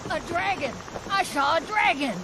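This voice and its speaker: A woman's voice: very high voice, moderate pace. A woman voicing an agitated, insistent old village mother in a fantasy video game. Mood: frightened, excited. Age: older. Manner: alarmed, insistent, excitable. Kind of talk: video game character acting. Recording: noisy game audio with loud ambience.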